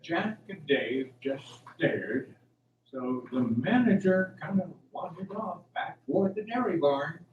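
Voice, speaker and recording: A man's voice: on the high side, expressive, measured pace, narrow, tinny audio. A man narrating a radio drama from a script. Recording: roomy, distant, faint background noise.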